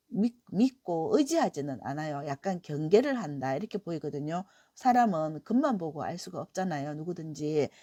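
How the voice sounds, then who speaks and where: A middle-aged woman talks through a weekly tarot reading, her voice hoarse from a cold. She sounds low and mellow, easing along with soft fillers and warm encouragement. The recording is close and dry. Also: dry recording. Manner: gentle, encouraging, many "eo" fillers. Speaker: tarot reader.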